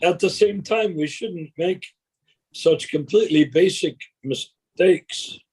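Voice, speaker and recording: A man's voice: high voice, slow, a little mellow, slightly rough. A male co-host on a video call, speaking wryly and arguing his point with sarcastic jokes. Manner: wry, argumentative, sarcastic jokes. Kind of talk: casual video-call discussion.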